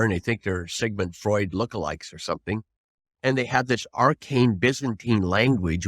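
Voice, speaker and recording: Older male lawyer guest, lowish voice, dry close sound, earnest folksy storyteller who punches his points. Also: a bit bright, dry recording. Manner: emphatic, earnest, folksy rhetorical questions. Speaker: guest, trial lawyer and author. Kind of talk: interview advice, storytelling.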